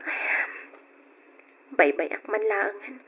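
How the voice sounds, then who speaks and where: A woman's voice: mid-range voice, takes it slowly, a bit bright, slightly rough, full character voice. A young woman with an Ilocano accent playing an affectionate, questioning girlfriend in an old radio drama. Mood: concerned. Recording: noisy, dull lo-fi radio recording.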